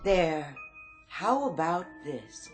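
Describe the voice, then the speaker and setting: An actress playing an eerily courteous, knowing saleswoman in a radio drama, with measured, genteel, insinuating sales patter. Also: low voice, very animated, over music, heavily acted. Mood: coaxing, knowing.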